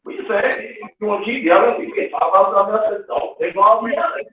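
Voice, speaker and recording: A man talks in a loose group chat, circling back on his points and swearing freely. His voice sits high and comes over a narrow, phone-like line. It sounds distant, wrapped in heavy echo. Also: fast talker, crowd noise. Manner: rambling, repeats himself, swears. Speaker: podcast participant. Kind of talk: casual group discussion.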